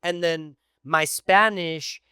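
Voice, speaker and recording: A middle-aged man from Tijuana and East Los Angeles tells stories in a bright, crisp, fairly high voice. He talks casually and with energy, full of "you know" and "like". The recording is close and dry. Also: slow pace, dry recording. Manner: animated storytelling with many fillers. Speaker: guest. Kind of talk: casual podcast conversation.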